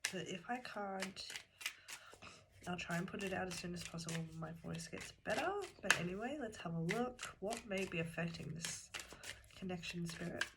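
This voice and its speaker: A woman with a slightly low voice reads tarot cards, a cold making her throat catch and fade. She talks straight to the listener in an earnest, calm way. Loud noise fills the recording behind her. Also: normal speed, gravelly, pauses often. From Australia. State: has a cold, voice cutting in and out. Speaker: tarot reader. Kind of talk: pick-a-card tarot reading. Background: shuffling cards and clicks.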